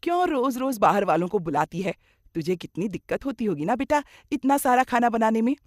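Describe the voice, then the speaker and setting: A woman's voice: on the high side, big pitch swings, a little fast, dry recording, clean studio sound, pitched above the performer's own voice. A woman playing a middle-aged mother-in-law, kindly but grumbling and dramatic, in a moral cartoon story. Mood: awkward, coaxing.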